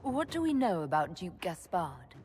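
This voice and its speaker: Composed heroine with lively intonation. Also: female voice, on the low side, normal speed, faint music behind. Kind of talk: video game voice acting.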